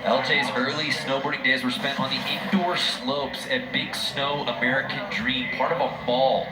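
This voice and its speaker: A man's voice: medium pitch, steady tone. Upbeat male sports announcer, roomy sound. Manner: upbeat, brisk announcer delivery. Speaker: play-by-play commentator. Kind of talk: live sports commentary. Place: broadcast booth at an outdoor event. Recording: roomy sound with loud music behind.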